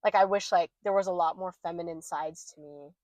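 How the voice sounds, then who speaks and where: Low-toned young woman, rambling candid chat. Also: fairly quick, a little mellow, no room sound. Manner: rambling, heavy use of "like", candid. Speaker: podcast co-host. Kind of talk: casual chat.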